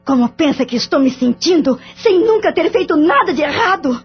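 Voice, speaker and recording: A woman's voice: high-pitched, expressive, moderate pace. A woman playing a betrayed wife who speaks in a bitter, cutting and contemptuous way. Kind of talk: audio drama acting. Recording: dull lo-fi audio with faint music.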